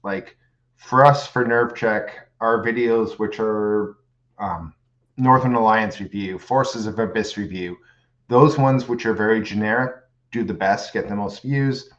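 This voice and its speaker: A man's voice: lowish voice, unhurried, a bit bright, muffled recording. A man from Canada hosting a casual podcast interview, chatty and jokey with stumbling restarts. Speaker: podcast host.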